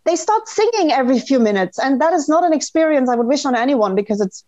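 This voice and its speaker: Woman, fairly high voice, casual podcast storytelling, emphatic, sweary, dull dry recording. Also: expressive, fast talker, darkish tone, no room sound. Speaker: podcast guest. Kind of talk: casual chat, telling childhood memories.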